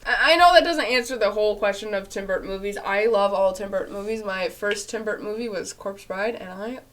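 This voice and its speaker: A woman's voice: medium pitch, fairly quick, a bit bright. Congested young woman chatting to camera. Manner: chatty, rambling, frequent fillers and laughs. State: nasal and congested after illness. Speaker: YouTuber answering viewer questions. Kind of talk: casual vlog chat. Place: small bedroom at home, indoors.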